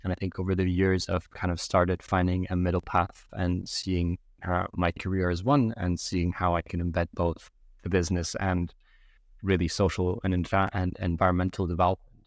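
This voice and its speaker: A young man from Yemen speaks in a low, steady voice with little rise and fall. He takes his time, pausing on "um" and "uh" as he reflects. The sound is close and dry, with no room around it. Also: dry recording. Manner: measured, thoughtful, many fillers. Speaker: podcast guest. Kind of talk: reflective interview answers.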